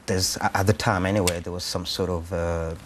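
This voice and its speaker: Male interviewee, low pitch, hesitant conversational delivery, faint background noise. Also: steady tone, brisk, a bit bright. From Rwanda. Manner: hesitant, thinking aloud. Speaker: actor-writer being interviewed.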